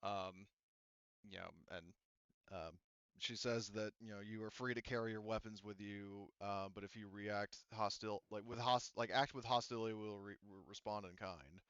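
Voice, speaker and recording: A man's voice: fairly low pitch, steady tone, moderate pace, fairly bright tone, clear, resonant tone, dry recording. A man running an online tabletop game as game master, narrating in a rambling way and often losing his thread. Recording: dull, muffled audio.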